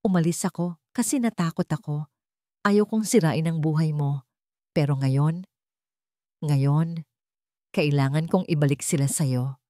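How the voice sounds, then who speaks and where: A woman's voice: sits low, a little slow, long pauses, warm tone, no echo, studio quality. A woman voicing an ailing mother in a radio drama, emotional, earnest and pleading. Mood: tearful, tender.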